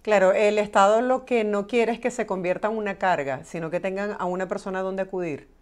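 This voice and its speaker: A woman's voice: medium pitch, brisk. A woman hosting a broadcast interview, reading questions aloud and moving the conversation along. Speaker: host reading viewer questions.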